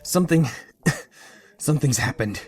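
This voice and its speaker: A man's voice: on the high side, animated, a little slow. A man playing a pushy, frantic trickster in an audio drama, urgent and repeating himself. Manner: urgent, stammering repetition. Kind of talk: fan-made audio drama. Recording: music behind the voice.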